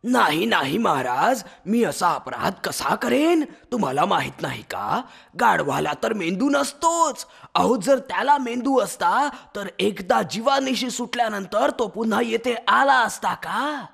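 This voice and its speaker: A man voicing a cunning fox minister in a children's animal story, raspy and creaky, with a wheedling, flattering manner. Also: sits high, unhurried, exaggerated voice. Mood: sly, servile. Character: cunning sweet-talking fox, minister to a lion.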